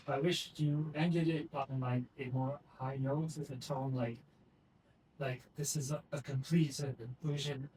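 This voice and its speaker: A man giving feedback on a talk in a hesitant way, with many fillers. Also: a little high. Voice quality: flat, hesitant delivery with fillers. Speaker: group participant giving feedback. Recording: distant mic with faint room noise.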